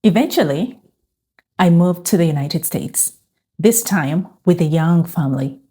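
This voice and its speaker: A middle-aged woman from Uganda sharing a calm, reflective personal faith testimony. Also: fairly low pitch, a little slow, breathy edge. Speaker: faith and lifestyle vlogger.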